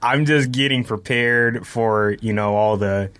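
A man on a podcast panel, chatting casually and politely softening his disagreements. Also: medium pitch, measured pace, clean tone. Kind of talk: casual chat.

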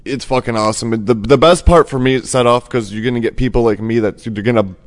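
A man's voice: medium pitch, fast talker. A man co-hosting a casual podcast, talking about toys with rambling enthusiasm. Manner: enthusiastic, rambling collector talk. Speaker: podcast co-host. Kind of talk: casual chat.

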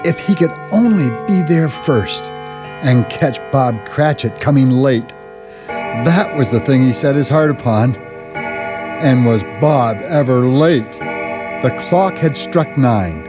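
An actor narrating a Christmas radio drama as a storyteller, measured and expressive. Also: male voice, mid-range voice. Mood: measured storytelling. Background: music.

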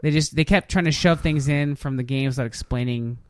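A man's voice: on the high side, fairly quick, smooth, no echo. A man hosting a podcast in casual chat, giving opinionated, loosely worded reviews. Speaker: podcast host. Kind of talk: casual chat, reviewing video game movies.

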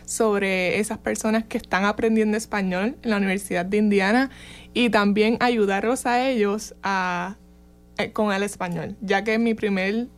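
A woman's voice: mid-range voice, fairly even intonation, normal speed. A young woman from Puerto Rico with a Puerto Rican accent, answering as a student guest in plain, slightly shy words. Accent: Puerto Rican Spanish. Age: young adult.

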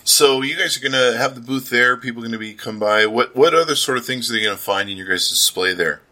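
A man's voice: mid-range voice, fast, sharp tone. A man hosting a casual promotional interview as a podcaster, talking with slangy, gushing enthusiasm.

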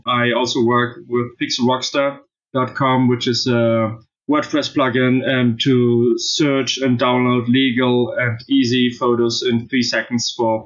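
A man's voice: medium pitch, a bit bright, dull audio. A man from southern Spain with a German accent, a guest in a casual interview who talks thoughtfully with many fillers and restarts. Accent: German-accented English. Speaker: podcast guest, blockchain author.

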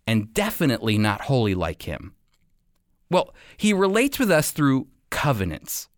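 A man delivers a sermon as a Bible teacher, setting out each phrase deliberately and pausing for emphasis. His mid-pitched voice moves widely up and down. The sound is dry, with almost no room around it. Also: a bit bright, no echo. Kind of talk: prepared sermon teaching to a congregation.

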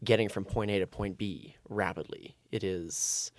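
Young male podcast guest, Canadian, slightly low voice, casual and hesitant, recorded dry. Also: steady tone, moderate pace, no room sound. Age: young adult. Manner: hesitant, thinking aloud. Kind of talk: casual chat.

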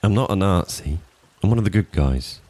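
An actor playing a wary man who claims to be a Roman guard, speaking in a hushed, urgent way with a West Country English accent. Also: deep voice, lively intonation, a little fast, dry recording.

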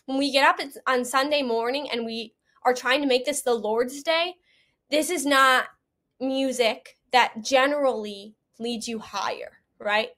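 A woman's voice: high-pitched, fairly even intonation, unhurried, a bit bright. A young adult woman speaking casually and earnestly as an interview guest.

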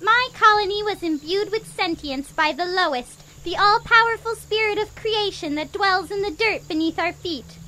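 A high, bright, formal mole. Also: female voice, moderate pace, few pauses. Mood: solemn. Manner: formal, stately speech. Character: solemn formal mole guardian, adult, small. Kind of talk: audio drama acting.

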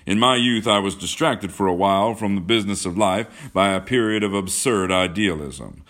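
A man's voice: fairly low pitch, normal speed, fairly bright tone, close to an everyday voice. Man playing a pompous rich man of forty. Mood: self-satisfied.

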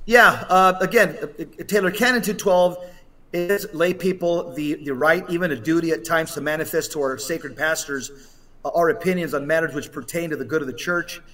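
A man giving religious commentary as a podcast guest, speaking emphatically and haltingly with restated phrases, over a video call. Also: a little high, a bit bright. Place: hotel room, on a remote connection.

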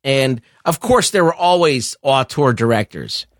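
A middle-aged man co-hosting a casual film discussion, explaining things in a halting, profane way. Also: mid-range voice, expressive, no echo, studio quality. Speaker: podcast co-host.

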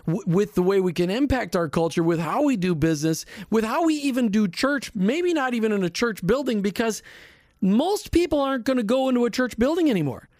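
Male radio host, high voice, enthusiastic and chatty, dry studio sound. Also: expressive, a little fast, a little mellow, no room sound. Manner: enthusiastic, chatty, stumbles on starts. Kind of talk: casual radio talk.

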